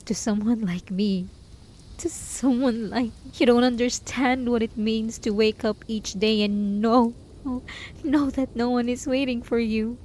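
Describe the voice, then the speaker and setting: Woman acting a high-voiced desperate, lovesick hermit. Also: fairly even intonation, unhurried, darkish tone, a little hiss or hum, lightly acted, low-quality recording. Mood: anguished, possessive. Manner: desperate, pleading, breathy sighs and whispers. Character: lonely, obsessive, lovesick hermit begging a traveler to stay. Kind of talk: roleplay ASMR, intimate dramatic monologue.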